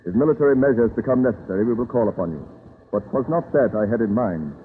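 An actor playing a grave, principled Pilgrim leader with a measured, formal manner in an old-time radio drama. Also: male voice, medium pitch, animated, narrow, tinny audio, close to an everyday voice. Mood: resolute. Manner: formal, measured, archaic phrasing. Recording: thin vintage radio recording, faint noise.

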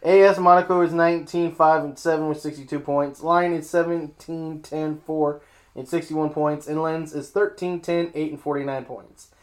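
A man's voice: fairly high pitch, fairly even intonation, normal speed. A man hosting a casual sports show, reading out league standings and joking at his own expense. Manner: joking, self-mocking, reading scores.

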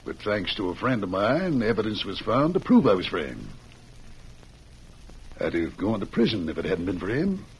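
An actor playing an earnest, firm but kind prison warden in the Old West, in a measured radio western style. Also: male voice, on the low side, very animated, a little hiss or hum, low-quality recording. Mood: quietly confiding. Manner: persuasive, measured, often addresses listener by name.

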